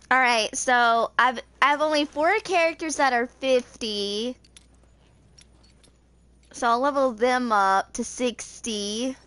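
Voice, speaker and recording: Female game streamer, twenties, higher-pitched voice, casual and rambling, thinking out loud as she plays. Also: dry recording. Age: 20s. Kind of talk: casual rambling gameplay commentary.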